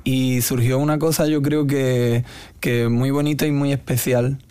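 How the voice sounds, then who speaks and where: Male interview guest, Cádiz Andalusian accent. Smooth, resonant voice, dry close sound, halting conversational delivery. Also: mid-range voice, flat delivery, warm tone, no echo. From Andalusia, Spain. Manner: halting, searching for words. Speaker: guest musician. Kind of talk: casual interview talk.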